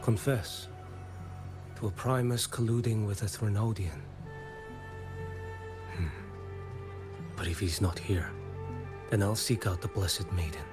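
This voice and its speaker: A man's voice: on the low side, steady tone, slow pace, long pauses. A man voicing a terse, brooding warrior outsider in a video game, with a grim and resolute delivery. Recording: music behind the voice.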